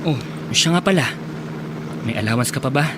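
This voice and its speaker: A man playing an ingratiating, scheming suitor who poses as a generous helper in a Tagalog radio drama, smooth-talking and coaxing. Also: medium pitch, animated, moderate pace, background noise, lightly acted. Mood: coaxing, eager.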